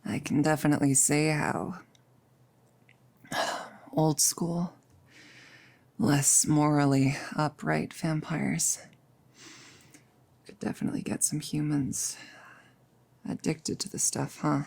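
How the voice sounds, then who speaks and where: A woman playing a tender, nervous girlfriend turning vampire in an ASMR audio roleplay, speaking in a breathy, hesitant, often whispered voice. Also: sits low, level tone, exaggerated voice. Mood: nervous, wry. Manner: hesitant, halting, soft-spoken.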